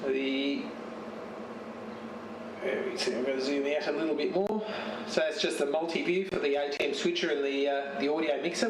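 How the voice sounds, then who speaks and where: A man giving a casual, unscripted show-and-tell as a radio hobbyist, speaking haltingly and searching for words. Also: on the high side. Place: home radio shack. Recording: roomy, distant mic with steady noise.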